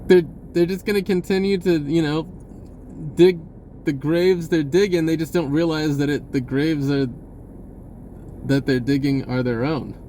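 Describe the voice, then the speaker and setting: A man giving an unscripted personal message in a casual, halting, rambling way with short broken phrases. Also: a little high, fairly even intonation, dark tone, slight background noise.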